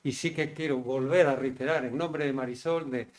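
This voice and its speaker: A man presenting an event, giving measured, thankful closing remarks and handing the floor over. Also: mid-range voice, fairly even intonation. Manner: measured, thankful, with repeated words.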